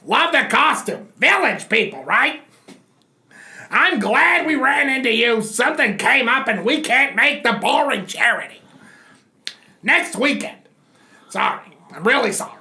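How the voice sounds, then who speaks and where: Woman doing a fake-friendly acquaintance, slow and swoopy, mock cheer, comic book skit. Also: on the high side, big pitch swings, pushed and bright, heavily acted. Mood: mock cheerful. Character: breezy, insincere acquaintance bailing on plans. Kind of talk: amateur comedic comic book reading.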